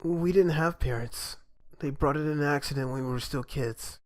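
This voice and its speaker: A man's voice: on the high side, moderate pace, close to an everyday voice. A man voicing an aloof, wistful young older brother in a game fan dub, talking in a casual, reflective way.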